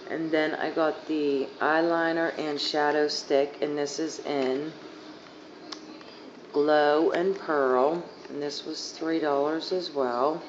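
A young woman with a hoarse, fading voice rambling casually through a makeup haul, full of fillers, filmed on a phone on her living room couch. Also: deep voice, steady tone, slow pace. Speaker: beauty vlogger. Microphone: phone camera at arm's length. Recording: dull lo-fi phone audio, faint hiss.

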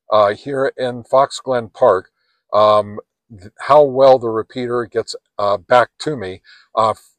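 A man's voice: mid-range voice, no room sound. A man in his sixties rambling casually through a hands-on ham radio review, heavy on fillers, recorded on a camera held at arm's length. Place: home radio shack, then outdoors in a park, then in a truck. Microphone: handheld camera at arm's length.

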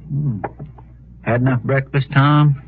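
An actor playing a folksy, deferential, slightly flustered marshal's helper in a 1950s radio western, with a homely, hesitant way of talking. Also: male voice, medium pitch, steady tone, takes it slowly, breathy edge. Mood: friendly, easygoing. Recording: muffled, thin, noisy old radio recording.

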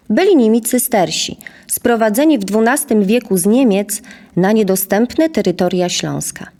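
A woman serves as narrator of an audio drama. Her pitch is middling. She reads scripted prose in a measured, literary storytelling style. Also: a little mellow.

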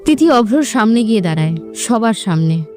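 A woman's voice: on the high side, very animated, fairly quick, darkish tone, faint music behind. A woman narrator reading a scripted romantic story in a dramatic storytelling style.

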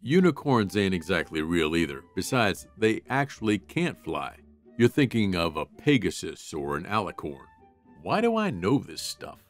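A man playing a big, world-weary guard dog in a gruff, deadpan cartoon voice. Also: low-pitched, normal speed, soft background music. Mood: matter-of-fact. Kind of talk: comic dub cartoon acting.

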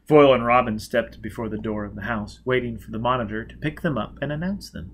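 A man in his thirties reading a novel aloud as an expressive narrator. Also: mid-range voice, a little fast, slight background noise. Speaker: audiobook reader. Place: small indoor space with a dark curtain backdrop.

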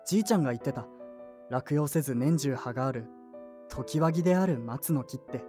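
A woman's voice: a bass voice, animated, a little fast, dark tone, faint music behind. A woman voicing an earnest, anxious teenage boy in a radio drama, boyish and emotional with blunt speech. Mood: reflective narration. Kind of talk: radio drama acting with narration.

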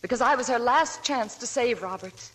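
A woman's voice: fairly high pitch, normal speed, close to an everyday voice. An actress playing a shy young working woman who narrates her past, in 1940s radio acting. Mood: reflective. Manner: shy, soft-spoken. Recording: old radio broadcast with faint music.

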